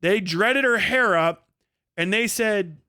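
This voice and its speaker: A man's voice: sits high, slow pace, sharp tone, clear, resonant tone, no echo, clean studio sound. A man giving a casual, ranting review monologue as a livestream host, blunt, profane and exasperated, repeating points for emphasis.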